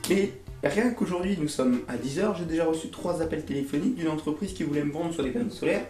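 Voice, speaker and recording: A man's voice: a little high, fast talker, warm tone. A young man doing a casual, comic rant to camera as a YouTuber, exasperated and theatrical, with slangy swearing. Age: young adult. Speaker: YouTuber, host of the video. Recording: roomy echo, music underneath.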